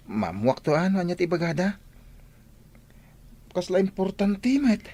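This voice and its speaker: A man's voice: high voice, very animated, measured pace, darkish tone, no echo, exaggerated voice. A male actor with an Ilocano accent playing an earnest, dutiful young student in a radio drama.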